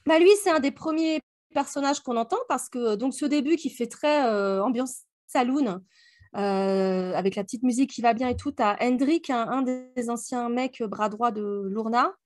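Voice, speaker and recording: A woman's voice: fairly high pitch, moderate pace, a little mellow. A woman co-hosting a book club podcast, retelling story scenes in a colloquial, vivid, loosely organised way.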